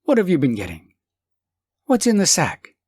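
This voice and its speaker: A man playing a poor old working husband in an audiobook story, excitable and emphatic. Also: a little high, normal speed, slightly rough, no echo, voice pushed up, heavily acted. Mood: reassuring.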